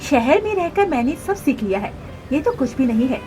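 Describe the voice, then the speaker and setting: Casting: woman as young female cartoon bird, bold and defiant, high animated voice, music underneath. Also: moderate pace, low-quality recording. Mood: confident. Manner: assertive, argues back. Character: defiant young female bird who dreams of racing bikes.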